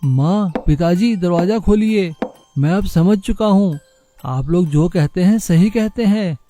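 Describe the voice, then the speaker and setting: A man voicing a lazy, carefree young village son in an animated moral story. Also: high-pitched, measured pace, no room sound, faint music behind. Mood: pleading.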